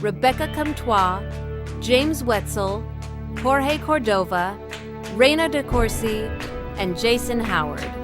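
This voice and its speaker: A woman reading scripted credits as an announcer, recorded with music behind her. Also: medium pitch. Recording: voice over loud music. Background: loud music.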